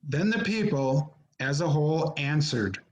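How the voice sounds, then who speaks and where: A man narrating a scripture drama as an amateur reader, solemn and measured, in short phrases, recorded over a video call. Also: on the high side, expressive.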